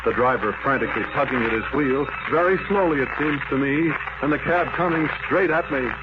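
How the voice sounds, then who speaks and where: An actor playing a lovelorn, dream-haunted romantic man in an old radio drama monologue, speaking in halting, poetic phrases. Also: medium pitch, natural voice. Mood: dreamlike dread. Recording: noisy, muffled vintage radio recording.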